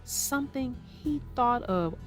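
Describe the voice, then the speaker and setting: Woman storyteller for kids, unhurried and sing-song, faint music bed. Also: on the high side. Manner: expressive, sing-song reading. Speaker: storybook narrator and host. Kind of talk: children's read-aloud narration.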